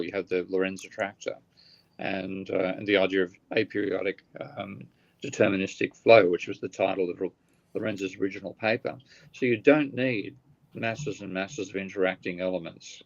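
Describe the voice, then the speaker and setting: Tired, gravelly man on a dull call. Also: sits low, steady tone. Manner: halting, thinking aloud with restarts. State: tired, voice getting croaky. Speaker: guest economist. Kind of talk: explaining ideas in a live online discussion. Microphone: video call.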